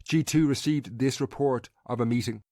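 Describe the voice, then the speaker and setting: Male Irish narrator for history podcast; medium pitch, measured scripted read, very dry sound. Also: a little mellow, dry recording.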